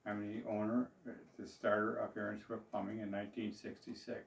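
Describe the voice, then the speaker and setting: A man's voice: on the low side, level tone, brisk, creaky. An older man, a business owner talking to the camera in a small office in a plain, rambling way, with fillers and restarts. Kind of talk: speaking to camera about his business. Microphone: far camera. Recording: roomy echo, voice from a distance.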